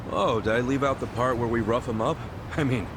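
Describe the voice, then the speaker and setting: An actor playing a loyal, earnest middle-aged ex-yakuza hero in a video game, talking in a blunt, heartfelt, streetwise way. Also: male voice, mid-range voice, brisk, noisy background, natural voice. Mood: casual, cocky. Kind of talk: video game cutscene dub acting.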